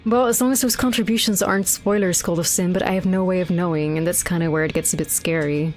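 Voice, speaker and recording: Woman, lowish voice, rambling streamer, swears a lot, faint music behind. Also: fairly even intonation, fast talker, darkish tone. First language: Dutch. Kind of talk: casual gameplay chat.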